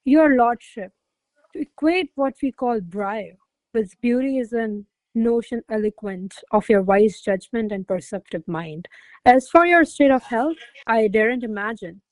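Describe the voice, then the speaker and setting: An actress playing a haughty, quick-witted noblewoman in a classical comedy radio play, imperious, formal and articulate. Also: fairly high pitch, expressive, a little mellow, dry recording, low-quality recording. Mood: cool, ironic. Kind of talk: stage-style radio play acting.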